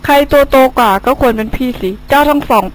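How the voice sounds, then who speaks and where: A woman's voice: high voice, fairly even intonation, fast, natural voice, poor audio. A woman voicing a big, childish, boastful elephant in a children's story, blustering and self-important. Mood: bossy.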